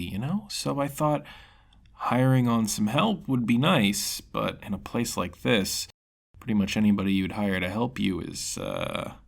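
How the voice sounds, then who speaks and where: Casting: man performing sly, worried female demon for a fantasy tale, persuasive, informal, husky voice. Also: medium pitch. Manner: coaxing, casual.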